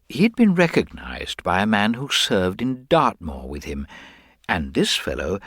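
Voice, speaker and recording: A man's voice: lowish voice, a bit bright, no room sound, clean studio sound, exaggerated voice. A man playing a mild, apologetic government investigator in a detective radio drama, hesitant, formal and precise.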